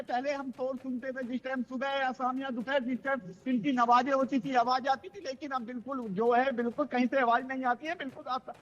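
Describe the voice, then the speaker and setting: A man's voice: very high voice, long reverb tail, highs cut off. A man reporting live as a news correspondent, unscripted, wordy and repetitive with stock filler phrases, over a broadcast link.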